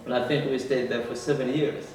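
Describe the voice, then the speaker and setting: A man's voice: mid-range voice, little pitch movement, fast talker, a little mellow, a little hiss or hum. Echoey Moroccan man, hesitant speech. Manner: hesitant, many fillers, searches for words. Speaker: interviewee. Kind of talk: oral history interview. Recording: very echoey room, distant mic, faint music.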